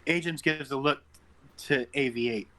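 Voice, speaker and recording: A man's voice: mid-range voice, normal speed, fairly bright tone, slight background noise. A man reading stage directions as narrator at an amateur table read over an online call. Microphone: group online call.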